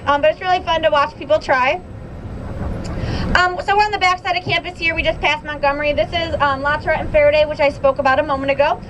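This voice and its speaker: Quick upbeat young woman tour guide. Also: sits high. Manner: upbeat, informative, frequent "um" fillers. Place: moving tour bus. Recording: noisy bus interior. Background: bus engine noise.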